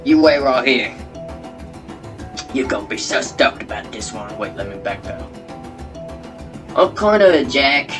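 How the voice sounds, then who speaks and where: A man acts out a brash wildlife wrangler on a comedy show. He boasts and rambles in matey slang at a middling pitch, with music clearly behind him. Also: natural voice. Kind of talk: comedy talk-show guest bit.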